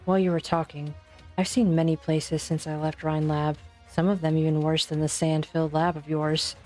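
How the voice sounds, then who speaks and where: A woman voicing a principled, resolute physician in an amateur fan dub, speaking in an earnest, deliberate way. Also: sits low, little pitch movement, a little mellow, faint music behind, close to an everyday voice. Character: principled, observant female physician, calm and resolute.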